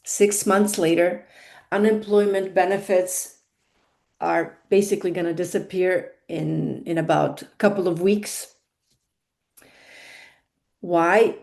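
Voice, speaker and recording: A woman's voice: on the low side, gravelly, a little mellow. A woman with a non-native English accent giving a monologue as a career consultant, stressing key words and repeating her points.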